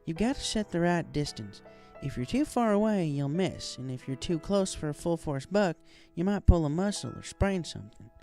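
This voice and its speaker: A man's voice: fairly high pitch, very animated, normal speed, creaky, a little mellow, faint music behind, voice pushed up. A man voicing a hearty female farm pony who gives folksy, encouraging instruction in an audiobook story. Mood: instructive.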